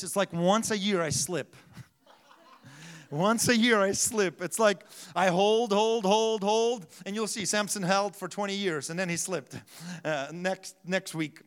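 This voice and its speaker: A man preaching a sermon as pastor, his voice rising to loud, emphatic shouts and falling quiet, with frequent restarts and slightly broken English. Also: high-pitched.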